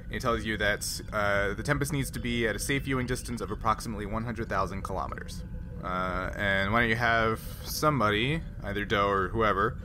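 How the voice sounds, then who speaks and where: A man's voice: lowish voice, a little fast. A man narrating as game master of a tabletop roleplaying game, in casual talk. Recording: noisy group recording, room ambience.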